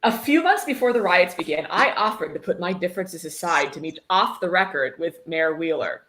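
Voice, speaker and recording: A woman's voice: on the low side, animated, fairly quick. A woman hosting a casual charity livestream, chatting and reading aloud in a sweary, irreverent, encouraging way. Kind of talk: casual group chat with bits of dramatic reading. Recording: echoey room with background noise and clicks.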